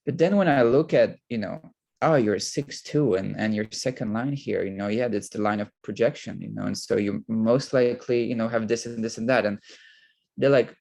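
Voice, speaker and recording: A man talking casually and animatedly, acting out quoted dialogue, with a gravelly voice. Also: fairly low pitch, moderate pace, darkish tone. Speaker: co-host of a live talk. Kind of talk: casual conversational talk.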